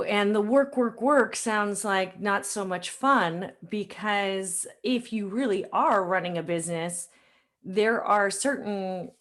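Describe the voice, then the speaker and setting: A woman hosting a podcast in a conversational, informal way, thinking aloud as she talks. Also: medium pitch. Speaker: podcast host. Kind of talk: conversational interview.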